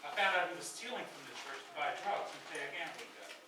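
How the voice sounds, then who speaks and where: Defensive, troubled male pastor man acting in a stage play, lively intonation, heard far from the mic in a reverberant hall. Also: on the high side, quick pace, lightly acted. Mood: defensive, indignant. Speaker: stage actor. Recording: echoey, noisy stage recording from far off.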